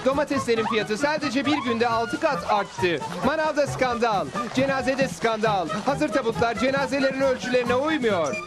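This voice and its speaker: Cartoon dub newspaper editor: extremely high, excitable, eager, declaiming. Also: male voice, moderate pace, hardly any pauses. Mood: proclaiming. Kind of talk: dubbed cartoon acting. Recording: loud music bed under the dialogue.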